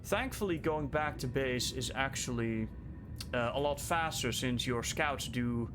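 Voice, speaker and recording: A young man with a Dutch accent and a calm, soothing voice, rambling in stream-of-consciousness fashion as he streams and comments on a game. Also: mid-range voice, measured pace, noisy background. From Netherlands. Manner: rambling stream-of-consciousness musing, thinking aloud. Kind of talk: casual livestream commentary while playing.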